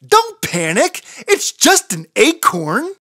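Woman as an excitable, high-pitched little girl chicken. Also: takes it slowly, dry recording, clean studio sound, exaggerated voice. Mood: alarmed, urgent. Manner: excitable, pleading, blurts out warnings.

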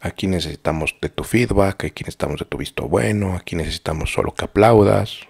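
A man's voice: deep voice, quick pace, creaky, slightly rough. A middle-aged man with a Mexican accent, teaching art on a live stream in a rambling, chatty way full of fillers and restarts, talking straight to his students. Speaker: art instructor. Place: small home studio.

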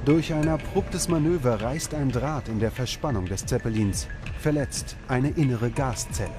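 A man narrating a scripted documentary in a measured, dramatic storytelling manner. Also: medium pitch, over music.

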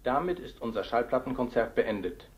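A man's voice: mid-range voice, lively intonation, fairly quick. An actor playing a male radio announcer giving formal station announcements, heard through a radio set in an old radio drama. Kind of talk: 1950s radio drama acting. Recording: muffled radio with loud music.

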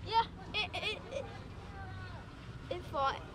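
Shy young male angler, high voice. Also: lively intonation, crowd noise. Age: teens. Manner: shy, halting short answers.

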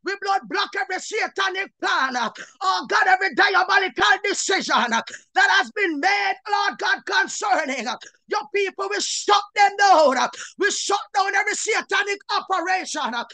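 A woman's voice: extremely high pitch, lively intonation, moderate pace, pushed and bright, no echo, shouting. A woman minister leading a fervent Pentecostal prayer, tearful and impassioned, punctuating her declarations with short "uh"s and cries to God. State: tearful, crying.

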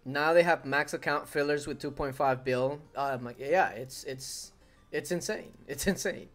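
A man's voice: a little high, normal speed, fairly bright tone, faint music behind. Young man with a Spanish accent. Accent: Spanish-speaking. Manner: repeats phrases, talks to his dog mid-game. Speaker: gaming streamer. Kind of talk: casual live-stream chat with viewers. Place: home room at a desk.

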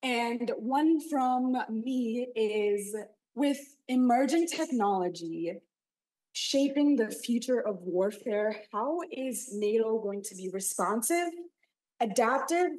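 A woman's voice: on the high side, expressive, takes it slowly, darkish tone, rough recording. A young woman from Pakistan asking a prepared question politely and eagerly. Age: young adult. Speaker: audience questioner.

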